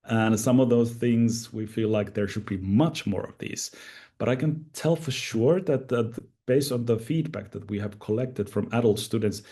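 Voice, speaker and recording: A man's voice: mid-range voice, moderate pace, dark tone. A man presenting at an online conference, thoughtful and prone to restarts.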